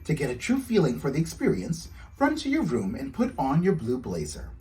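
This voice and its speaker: Man voicing a proper, instructive storybook mom, lilting pitch. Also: huge pitch swings, fairly quick, darkish tone. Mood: instructing. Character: boy's mother, polite, instructive, proper, patient.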